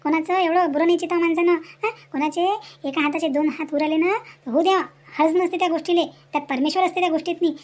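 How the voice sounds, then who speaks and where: A woman's voice: extremely high pitch, steady tone, fast, a little mellow. A woman voicing a comforting, moralising village neighbour in an amateur cartoon, emphatic and repetitive, with a Varhadi Marathi accent. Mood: indignant, consoling.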